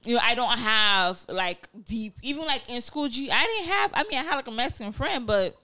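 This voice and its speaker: A woman's voice: on the high side, animated, fairly quick, bright, forceful tone, dry recording. A woman in her twenties who grew up partly in Nigeria, chatting casually on a podcast and rambling with lots of fillers and restarts. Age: 20s.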